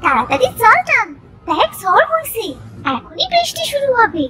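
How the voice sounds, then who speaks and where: A timid little village girl in a children's cartoon. Her voice is extremely high and bright, swooping through lively, fretful chatter over faint music. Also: moderate pace, full character voice. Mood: frightened. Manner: fretful, excitable.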